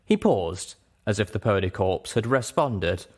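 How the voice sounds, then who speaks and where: A man with a fairly low voice narrates an audiobook. He reads scripted first-person story prose. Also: expressive, measured pace, slightly rough.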